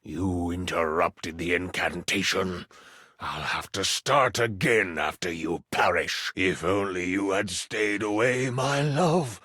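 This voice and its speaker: A man's voice: low-pitched, takes it slowly, pushed and bright, no room sound, studio quality, voice pushed down, exaggerated voice. A man voicing a menacing, grandiose fantasy king delivering a cold villain monologue.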